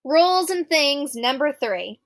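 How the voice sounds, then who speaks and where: A woman reading a children's novel aloud as an expressive storybook narrator. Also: sits high, unhurried.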